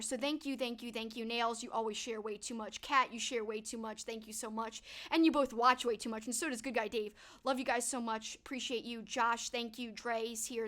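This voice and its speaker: Young woman, high voice, stuffed-up nose, chatty livestream host, upbeat. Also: a bit bright. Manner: upbeat, chatty, thanking viewers by name. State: a bit stuffed up. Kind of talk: casual livestream wrap-up talking to chat.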